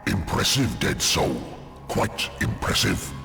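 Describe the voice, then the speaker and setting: Gravelly, thunderous demon general. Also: male voice, sits low, sing-song, bright, forceful tone, exaggerated voice. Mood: imperious. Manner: pompous, slow, threatening. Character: menacing, haughty demon general of hell. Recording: long cavernous reverb, music underneath.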